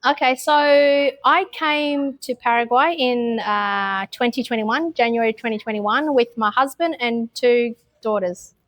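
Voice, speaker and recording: A woman's voice: fairly high pitch, a little slow, hardly any pauses, fairly bright tone. Australian woman answering interview questions. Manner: open and reflective, frequent ums and restarts.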